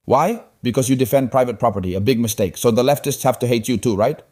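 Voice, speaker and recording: Man, interview host, probing, rhetorical questions, mid pitch. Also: fast, darkish tone. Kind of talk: casual interview talk.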